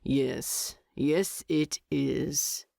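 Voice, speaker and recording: A woman voicing a gruff, grumbling dwarf king with a dry manner in a narrated story. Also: extremely low pitch, steady tone, very slow, dark tone, no echo, pitched below the performer's own voice, heavily acted. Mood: exasperated.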